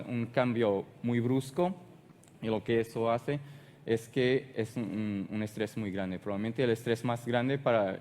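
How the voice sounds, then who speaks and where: Portuguese-accented male presenter, halting non-native delivery, echoing hall sound. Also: on the low side, darkish tone, a little hiss or hum. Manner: halting, searches for words and restarts often. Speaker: conference speaker. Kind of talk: technical conference presentation.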